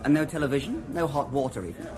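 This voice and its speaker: A man's voice: mid-range voice, big pitch swings, fast, crowd noise, natural voice, poor audio. An actor playing an awkward, resentful male official in a radio drama, deferential and hesitant with small stammers. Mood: fretful. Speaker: a nervous junior official. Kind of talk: 1990s radio drama acting.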